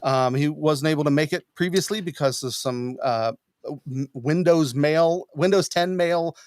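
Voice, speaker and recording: Male podcast host, middle-aged: enthusiastic, conversational, slightly raised pitch, close dry sound. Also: measured pace, darkish tone, dry recording. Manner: enthusiastic, informal. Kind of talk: casual live show chat. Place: small room at home, on a video call.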